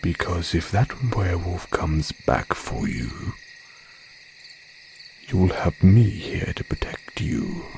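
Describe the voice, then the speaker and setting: A man voicing a haughty, courteous werebear with predatory charm, in an audio roleplay, speaking in a drawn-out, genteel, condescending way. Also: low-pitched, big pitch swings, vocal fry, noisy background, full character voice. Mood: suave, reassuring. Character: smooth, haughty male werebear, courteous yet predatory flirt. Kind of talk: audio roleplay monologue addressed to listener.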